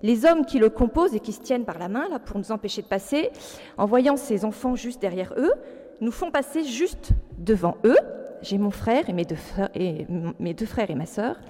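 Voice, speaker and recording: A woman in her forties speaks earnestly to an audience, moving between prepared reading and spontaneous asides. Her voice is slightly high and notably warm and mellow. A long reverb from the large hall trails her words. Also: lively intonation, normal speed. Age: 40s. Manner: earnest, alternating read text with spontaneous asides. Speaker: speaker giving a testimony. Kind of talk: public personal testimony, part read aloud.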